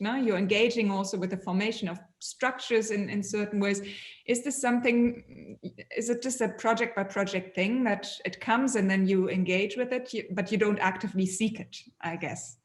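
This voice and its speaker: A woman's voice: mid-range voice, echoey room. A woman moderating a panel, asking long tentative questions and often tagging them with "no?". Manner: hesitant, tentative questioning with tag "no?". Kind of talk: panel discussion.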